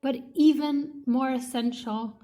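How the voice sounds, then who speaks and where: Woman reading out an academic book, slow careful phrasing, bit of quiet music in the back. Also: high voice, steady tone. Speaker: reader of an academic textbook. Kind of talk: read-aloud scripted narration of a textbook.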